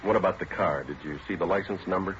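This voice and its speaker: Deep, deadpan, fast-talking police detective. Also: male voice, a little hiss or hum. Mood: businesslike. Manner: clipped, deadpan, matter-of-fact. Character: terse, weary male police detective narrating his case. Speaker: detective and narrator in radio drama. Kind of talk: 1950s police procedural radio acting. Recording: dull vintage radio recording, music behind.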